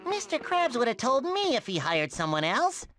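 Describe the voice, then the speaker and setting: An actor playing an eager, earnest, boyish sea sponge in a cartoon video game, with an excitable manner. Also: male voice, extremely high pitch, normal speed, soft background music, full character voice. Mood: indignant, suspicious.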